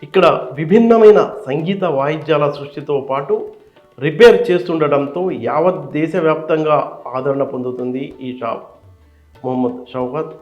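Higher-pitched man narrating, slightly roomy sound. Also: a little mellow. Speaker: narrator and interviewer. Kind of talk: scripted documentary narration. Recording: slightly dull, roomy sound with faint music.

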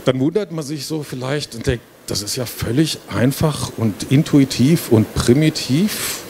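A middle-aged man, a university lecturer, giving an informal explanatory presentation into a handheld microphone on a stage. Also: medium pitch. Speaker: university professor presenting a project. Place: stage in a book fair hall before a small audience. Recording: steady trade-fair hall noise.

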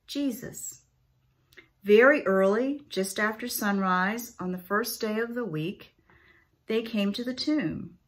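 Woman, middle-aged, scripture reader, formal, unhurried pace, careful phrasing, mid pitch. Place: small room at home.

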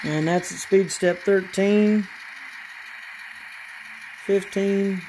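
A man casually narrating as he runs his model trains at home, pausing often with fillers and restarts. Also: sits high, background noise. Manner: halting, many fillers and restarts, relaxed. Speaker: model railroad hobbyist channel host. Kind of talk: casual running commentary while operating trains. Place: apartment room with model train layout.

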